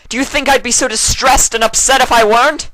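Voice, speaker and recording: A royal mare from a cartoon fan story voiced by a man. She speaks formally and with measured authority, caring underneath but with a temper. The sound is bright and very dry. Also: extremely high pitch, fairly even intonation, no room sound, voice pushed up, low-quality recording. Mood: furious. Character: regal, composed adult pony princess, caring but quick-tempered.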